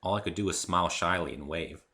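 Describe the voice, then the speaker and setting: A man's voice: low-pitched, fast talker, sharp tone. A man narrating a first-person story in a dramatic reading style. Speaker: author reading own story.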